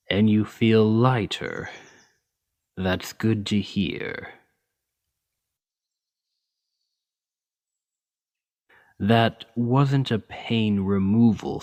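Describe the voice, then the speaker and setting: A man voicing a towering, gentle orc healer who speaks patiently and reassuringly in an ASMR roleplay. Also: lowish voice, full character voice. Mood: soft, earnest. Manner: slow, gentle, reassuring, deliberate. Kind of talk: ASMR roleplay spoken to the listener.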